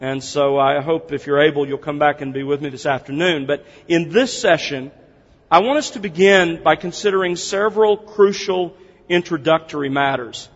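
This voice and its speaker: Preacher in an echoey hall. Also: male voice, on the high side, moderate pace. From United States. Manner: conversational, earnest, explaining step by step. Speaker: preacher teaching a seminar. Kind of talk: seminar lecture opened with prayer. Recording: dull, reverberant hall sound.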